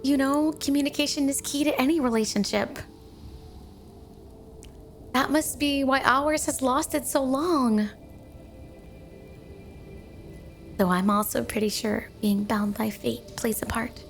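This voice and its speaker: Woman acting out obsessive snow woman wife, fairly high voice, sugary, doting, flirty ASMR roleplay, faint music underneath. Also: a little slow, creaky. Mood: adoring, sweet. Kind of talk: ASMR roleplay addressed to the listener.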